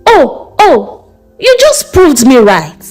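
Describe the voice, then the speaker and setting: A respectful, lovestruck young woman in a folktale, acted by a woman storyteller. She is nervous and hesitant, full of feeling. Her high voice rises and falls widely over light music. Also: wildly swooping pitch, slow pace, a little mellow, heavily acted. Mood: shy, pleased. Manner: hesitant, emotional.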